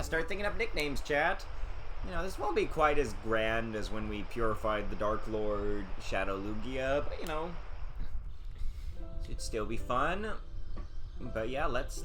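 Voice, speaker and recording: A man's voice: medium pitch, expressive, unhurried, long pauses, fairly bright tone. A young man streaming a video game, chatting casually and rambling with many restarts. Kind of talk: casual gameplay commentary. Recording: game music under the voice. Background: game music.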